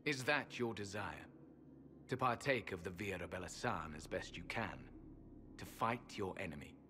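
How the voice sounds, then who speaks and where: An actor playing a solemn ancient elven temple guardian in a fantasy game, with grave, formal, archaic speech. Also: male voice, sits low, animated, unhurried, noisy background. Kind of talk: video game fantasy voice acting. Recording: music behind the voice.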